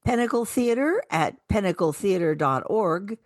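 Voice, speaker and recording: A woman's voice: mid-range voice, expressive, normal speed, no echo, studio quality. A woman co-hosting a radio show, reading scripted community calendar announcements.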